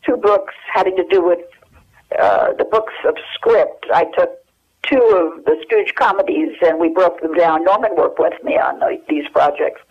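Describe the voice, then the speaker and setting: An American woman in her eighties reminiscing casually and haltingly as an interview guest over the telephone. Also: fairly low pitch, breathy edge. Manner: halting, searching for memories. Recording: dull, lo-fi telephone hook-up.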